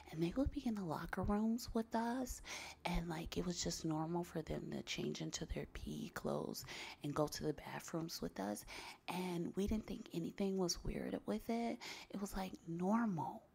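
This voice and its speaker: A woman's voice: lowish voice, gravelly, dark tone, breathy edge. A woman from Los Angeles whispering blunt, sassy advice in an ASMR style. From Los Angeles, USA. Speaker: advice giver.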